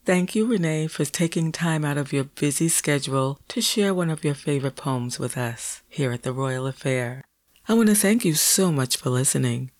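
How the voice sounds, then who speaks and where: A middle-aged woman hosting a poetry podcast with a soothing, deliberate, affirming delivery. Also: low voice, a little mellow, no room sound. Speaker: podcast host, poet. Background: music.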